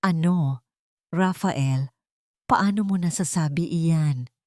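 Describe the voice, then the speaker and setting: A woman's voice: deep voice, slow pace, mellow, dry recording. Woman narrator as proud older society mother, haughty, dramatic and pleading, audiobook drama, dry sound. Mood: angry, disbelieving.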